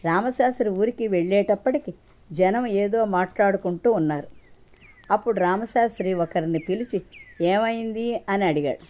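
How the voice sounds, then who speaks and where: A woman's voice: medium pitch, mellow. Woman narrating a children's folk tale. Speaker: storyteller. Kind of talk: scripted children's moral story narration.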